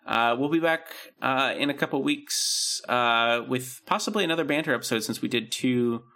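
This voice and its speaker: Gravelly, bright-voiced man chatting on a podcast. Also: mid-range voice, moderate pace. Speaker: podcast co-host. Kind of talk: casual banter podcast chat.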